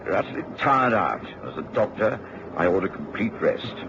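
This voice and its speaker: A dull, hissy vintage radio recording of a hearty British doctor. His low voice swings expressively as he talks and narrates in old-fashioned radio drama style. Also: sing-song, normal speed, gravelly. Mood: hearty, insistent. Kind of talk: mid-century radio drama acting and narration.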